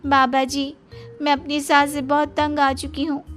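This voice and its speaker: A woman voicing an earnest young daughter-in-law who is eager to please, in an animated moral story. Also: high voice, unhurried, faint music behind. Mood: pleading. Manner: pleading, earnest.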